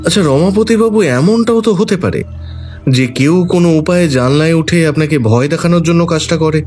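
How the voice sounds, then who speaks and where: An actor playing a shrewd, calm detective who reasons things through in a measured way. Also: male voice, a little high, natural voice. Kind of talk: audio drama acting. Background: faint background music.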